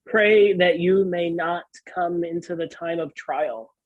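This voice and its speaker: A pastor reads scripture in a solemn, dramatic style, with earnest and deliberate delivery. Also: mid-range voice, no echo, narrow, tinny audio.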